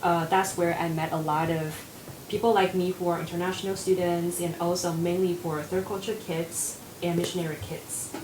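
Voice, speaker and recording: A woman's voice: on the low side, level tone, moderate pace. Young Taiwanese woman counselor, earnest. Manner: earnest, with many fillers. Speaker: mental health counselor. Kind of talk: casual panel discussion. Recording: echoey room with audible noise.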